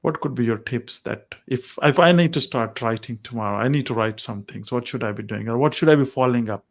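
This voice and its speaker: A man's voice: medium pitch, fast. A man hosting a tech podcast, who backs the guest up with constant short "mm-hmm"s and asks long, rambling questions. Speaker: podcast host. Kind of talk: interview questions.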